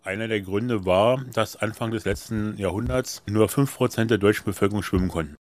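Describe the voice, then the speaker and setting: An older man talks through his work as a lifeguard chief. His voice is fairly low, recorded dry and close. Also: a little fast, dry recording. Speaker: head of lifeguard operations. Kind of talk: explanatory interview talk.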